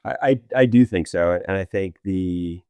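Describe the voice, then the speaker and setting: A man being interviewed as a business executive, explaining his views in an animated way with many restarts. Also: low voice, normal speed, clean tone, no echo. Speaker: guest, revenue operations executive. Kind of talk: casual interview chat.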